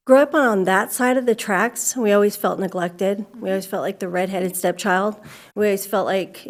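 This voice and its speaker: Woman, middle-aged, from California, public comment, candid conversational manner, podium mic, mid-range voice. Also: mid-range voice. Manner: candid, conversational, grows emphatic. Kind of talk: public comment at a school board meeting. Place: civic center meeting hall.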